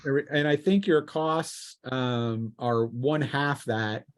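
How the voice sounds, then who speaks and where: A man runs a tabletop game in a casual, conversational voice of average pitch. He speaks haltingly, thinking aloud as he explains things to players. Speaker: game master. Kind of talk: casual tabletop role-playing game talk.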